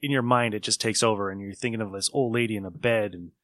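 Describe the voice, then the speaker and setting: A man's voice: on the low side, steady tone, fast, a bit bright, no room sound, clean studio sound. A man hosting a casual podcast chat about old radio shows, rambling along as an enthusiastic storyteller. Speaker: podcast host.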